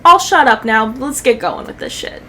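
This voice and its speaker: A woman's voice: fairly high pitch, animated, moderate pace, sharp tone, a little hiss or hum. A young woman giving casual, sweary, joking reaction commentary full of slang, as a YouTube commentator. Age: young adult.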